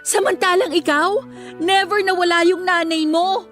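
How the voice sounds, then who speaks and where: Very high-voiced, hurt teenage schoolgirl. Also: fairly even intonation, normal speed, a bit bright, faint music behind. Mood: hurt, pleading. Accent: Filipino. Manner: emotional, reproachful. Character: hurt, earnest teenage schoolgirl, an overachiever defending herself to her best friend. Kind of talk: Filipino radio drama acting.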